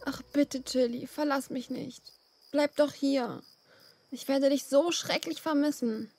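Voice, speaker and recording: A woman's voice: high-pitched, a little slow, a little mellow, faint music behind. A woman voicing a sad, tender young female blue bird in an audio play, with a wistful, pleading manner.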